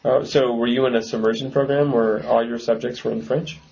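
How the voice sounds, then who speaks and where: A man interviewing a guest with short, relaxed questions and easy laughs. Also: mid-range voice, fairly quick, creaky. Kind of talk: casual interview. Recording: muffled lo-fi recording with faint hiss.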